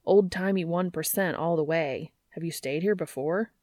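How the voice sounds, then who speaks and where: A woman's voice: on the low side, normal speed, a little mellow, no echo, close to an everyday voice. Woman reading as brash, slangy guy, dry close sound. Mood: excited, teasing. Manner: slangy, blunt, earnest. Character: brash, swearing, tender young-ish man named Dean.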